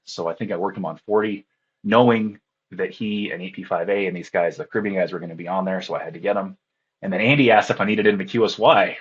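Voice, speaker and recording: A man's voice: low voice, fast talker, slightly rough, muffled recording. A man being interviewed, talking casually in a tired, rambling way and laughing often. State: groggy from lack of sleep. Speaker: interview guest, contest radio operator.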